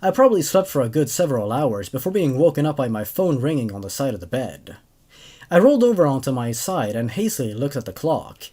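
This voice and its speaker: A man in his twenties with an expressive, emphatic way of talking, hosting horror stories. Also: medium pitch, brisk. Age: 20s. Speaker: host reading horror stories. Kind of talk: dramatic story narration, then casual commentary.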